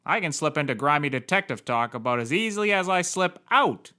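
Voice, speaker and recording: Male actor as brash bumbling gumshoe: high, bright, swooping delivery, comic hard-boiled patter. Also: lively intonation, brisk. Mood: cocky. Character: brash, excitable bumbling private eye. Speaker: actor in scripted comedy series. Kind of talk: comedic mock-noir detective slang acting.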